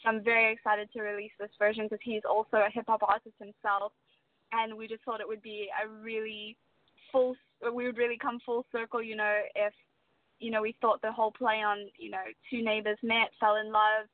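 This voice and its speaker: A woman's voice: on the high side, little pitch movement, moderate pace, dry recording. Chatty South African woman on a tinny line. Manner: chatty, laughing easily, many fillers. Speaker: singer-songwriter guest. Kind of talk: casual interview chat. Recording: dry, tinny phone-like line.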